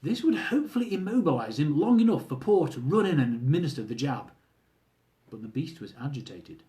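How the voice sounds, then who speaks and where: A man's voice: a little high, very animated, fairly quick, warm tone. A man reading his own humorous memoir aloud, telling the story wryly and speaking asides to his listeners. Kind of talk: audiobook-style reading of a travel memoir.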